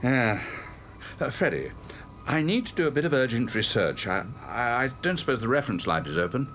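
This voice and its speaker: A man's voice: medium pitch, very animated, fairly quick, fairly bright tone, slight background noise. A male actor playing a weary, preoccupied doctor, hesitant and dry, in a radio drama. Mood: weary, distracted. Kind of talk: understated radio drama dialogue.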